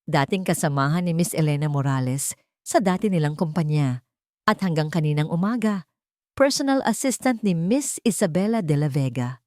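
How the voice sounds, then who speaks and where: Low-voiced woman narrating a drama. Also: lively intonation, moderate pace, dark tone, dry recording, studio quality. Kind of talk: scripted audio drama narration.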